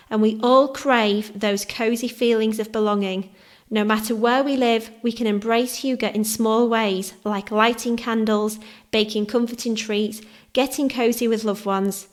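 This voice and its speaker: A woman presents her podcast from a script. Her reading is soft and measured, moving through lists of phrases. Her voice sits a little high. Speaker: podcast host.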